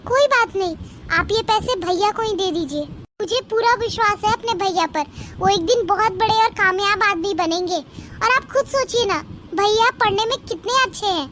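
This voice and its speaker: Woman acting selfless young village girl, extremely high pitch, hopeful, earnest, animated story, background noise. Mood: trusting, persuasive. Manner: earnest, persuasive. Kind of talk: animated moral story dialogue.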